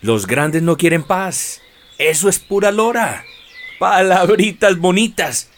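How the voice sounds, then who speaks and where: An actor playing an easygoing, blunt and sceptical farmer husband with a Colombian accent in a radio drama. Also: male voice, high-pitched, lively intonation, slow pace. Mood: distrustful.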